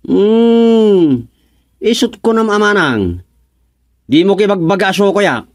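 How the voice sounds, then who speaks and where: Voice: medium pitch, expressive, takes it slowly, dry recording, clean studio sound. A performer voicing a wayward, defiant younger brother in a radio serial, whiny and childish. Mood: sulky. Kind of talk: radio serial drama acting.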